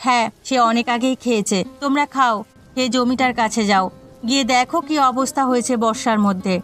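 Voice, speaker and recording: A woman's voice: a little high, fairly even intonation, a little fast, a bit bright, natural voice. A woman voicing a nagging, thrifty village housewife in a cartoon, with music behind her. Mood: resigned, bossy. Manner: scolding. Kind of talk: cartoon dialogue acting. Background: background music.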